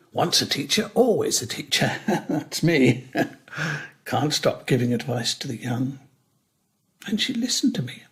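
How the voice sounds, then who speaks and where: A man performs a lonely retired schoolteacher of nearly eighty, living in a care home. He speaks slowly and reflectively, with measured pauses and a fairly high pitch for a man. His delivery of this stage monologue is wry and rises and falls expressively. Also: gravelly. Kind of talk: dramatic monologue reading.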